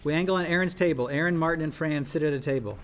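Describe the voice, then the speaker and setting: A man's voice: on the high side, fast talker, a little hiss or hum. A man reading screenplay stage directions aloud at a table read.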